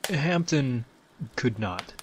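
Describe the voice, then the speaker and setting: A man narrating a scripted fantasy story as the storyteller, with dramatic, deliberate phrasing. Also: mid-range voice, lively intonation, a little hiss or hum.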